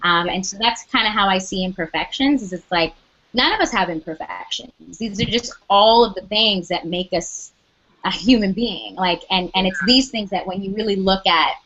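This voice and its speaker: A young adult woman with a loud speaking voice, chatting animatedly as an interview guest, full of "like" and quoted asides. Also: medium pitch, muffled recording. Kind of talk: casual interview chat.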